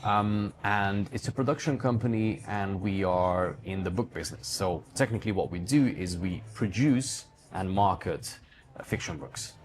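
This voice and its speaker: A man's voice: low-pitched, moderate pace, a crowd in the background. A man from Hungary answering questions conversationally as an interview guest, talking about his own life and work. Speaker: guest, digital entrepreneur running a book publishing company.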